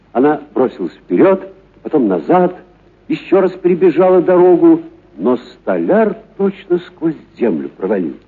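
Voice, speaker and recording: A man's voice: medium pitch, husky. A man narrating a literary story expressively, as the narrator of a radio production. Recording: muffled, narrow phone-like radio sound.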